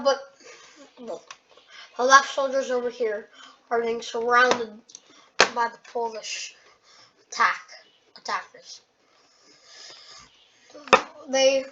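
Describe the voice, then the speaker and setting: A young female narrator giving casual play-by-play of a map war game, with frequent halting restarts. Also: sits high, fairly even intonation, bright, forceful tone. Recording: dull lo-fi audio with clear background noise.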